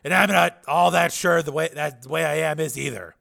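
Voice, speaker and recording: A man voicing a hard, bitter cyborg man in an audiobook, gravelly and forceful, pressing with challenging questions. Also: fairly high pitch, brisk, voice pushed up, heavily acted. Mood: bitter, defiant.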